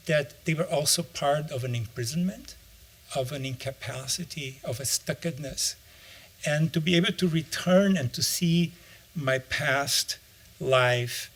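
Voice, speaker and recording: Reflective middle-aged man. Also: medium pitch. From Brazil. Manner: reflective, searching for words. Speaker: author and anthropologist. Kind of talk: interview answer.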